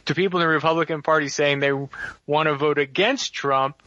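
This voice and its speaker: A man's voice: fairly high pitch, steady tone, a little fast, sharp tone, no echo. A man giving rambling, sardonic political commentary on a talk radio show. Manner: wry, rambling, sardonic asides. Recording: dull lo-fi radio audio.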